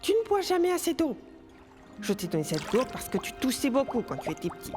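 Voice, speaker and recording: An actress voicing a gentle, middle-aged monster mother in an animated film, tender and fussing. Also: high-pitched, fairly quick. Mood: tender, worried. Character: gentle, caring monster mother of a grown son. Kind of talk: animated film dub acting. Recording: long hall reverb, music behind.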